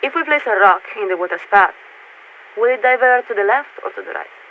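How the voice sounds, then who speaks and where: Over a tinny, staticky radio link comes a female military signaler in a video game. Her voice is high and carefully measured. She stays courteous, formal and patient, with an officious edge. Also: lively intonation, slight background noise. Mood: patient explaining. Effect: radio transmission filter. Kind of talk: scripted game voice acting.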